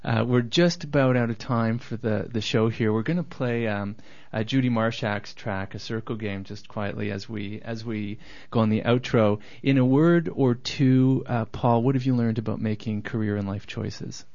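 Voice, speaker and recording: A man's voice: medium pitch, moderate pace, vocal fry, hardly any pauses, darkish tone. A man hosting a radio interview, asking friendly, probing questions. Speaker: radio show host. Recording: dull lo-fi radio recording.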